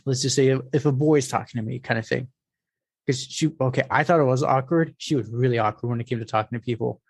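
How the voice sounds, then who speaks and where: A man's voice: mid-range voice, quick pace, gravelly, dry recording. A young man hosting a podcast, telling casual stories and acting out the voices of people he quotes, with lots of fillers and restarts. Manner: animated storyteller, acts out quoted voices. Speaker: podcast host. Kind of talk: casual storytelling chat.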